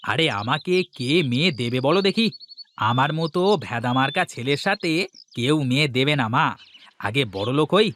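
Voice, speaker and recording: A man playing a grumbling young village son in a Bengali comic cartoon, talking in an animated, colloquial way. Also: mid-range voice, moderate pace, slight background noise, natural voice.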